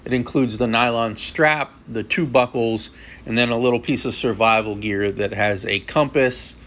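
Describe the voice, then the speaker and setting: A man's voice: mid-range voice, moderate pace, fairly bright tone. A man narrating an unboxing and review, explaining features step by step in a plain, matter-of-fact way. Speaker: product reviewer.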